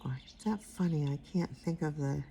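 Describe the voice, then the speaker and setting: An older woman with a quiet, soft-spoken voice giving a casual painting tutorial, rambling and trailing off into mumbles as she works. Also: low voice, normal speed, creaky, slight background noise. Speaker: watercolor instructor.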